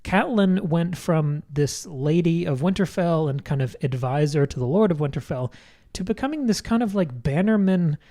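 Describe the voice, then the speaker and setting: A man's voice: a little high, lively intonation, normal speed, warm tone. A man co-hosting a podcast, casually laying out points about a book one by one with wry asides. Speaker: podcast co-host.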